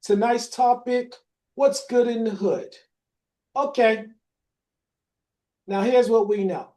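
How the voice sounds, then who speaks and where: Slow rhythmic podcast host. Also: male voice, very high voice, mellow. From Boston. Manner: slow, rhythmic spoken-word delivery. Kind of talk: rhymed spoken intro then hosting a panel.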